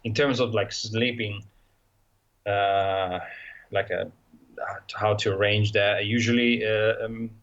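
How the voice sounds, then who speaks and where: A man's voice: fairly low pitch, fairly even intonation, slow, long pauses, a bit bright, highs cut off. A man with an Italian accent, talking casually as an interview guest, hesitant and full of fillers and restarts. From Italy. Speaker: guest, motorcycle traveler.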